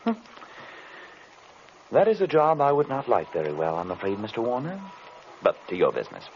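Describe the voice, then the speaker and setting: A man playing a suave, commanding foreign detective in an old-time radio drama, speaking calmly and ominously in formal, measured phrases. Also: mid-range voice. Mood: grave, probing. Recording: muffled, noisy old radio recording.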